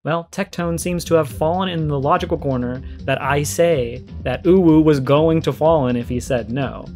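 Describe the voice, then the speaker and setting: Guy with a slightly high voice doing response video commentary, explains stuff emphatically, bit of music behind him. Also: moderate pace, darkish tone. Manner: emphatic, explanatory, repeats points for clarity. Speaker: video creator giving a response.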